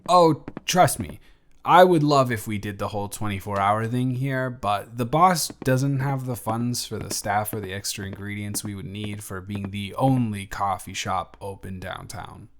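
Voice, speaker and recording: A young man playing a chatty, friendly barista who is sweet on a friend, in an intimate one-sided audio roleplay with casual, slightly stammering delivery. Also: on the low side. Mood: friendly, welcoming. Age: young adult. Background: music.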